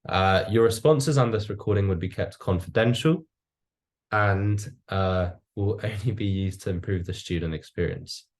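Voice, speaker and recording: A man's voice: lowish voice, moderate pace. A young man working through a semi-scripted interview as a student interviewer. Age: young adult.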